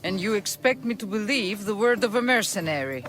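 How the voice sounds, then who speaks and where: An actor playing an impatient, sceptical bow maker with a curt, scoffing manner in a video game. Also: male voice, high-pitched, lively intonation, a little fast, fairly bright tone. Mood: scornful. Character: grouchy, sceptical, impatient male bowyer, grudging craftsman. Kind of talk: video game cutscene acting.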